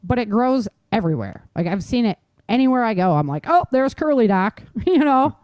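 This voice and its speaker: A woman's voice: fairly high pitch, sing-song, brisk, dry recording, muffled recording. A middle-aged American woman hosting a podcast, talking informally and emphatically as she instructs her listeners directly. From United States. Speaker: podcast host. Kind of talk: casual instructional podcast talk.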